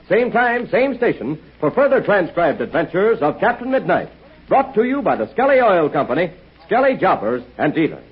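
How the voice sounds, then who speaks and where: Male radio announcer, 1940s, husky and animated, muffled old disc sound. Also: high-pitched. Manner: lively, salesmanlike. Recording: dull old radio transcription.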